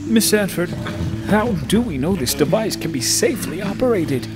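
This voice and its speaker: A man playing a stern, skeptical judge in a courtroom audio drama, with a pompous, formal and deliberate manner. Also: high voice, animated, music in the background.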